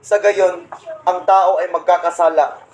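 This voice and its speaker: A man's voice: high voice, fairly even intonation, measured pace. A man playing a proud, resentful Satan who tells his schemes indignantly in a comedic radio play. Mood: gloating. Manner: boastful, indignant storytelling. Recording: roomy echo, some background noise.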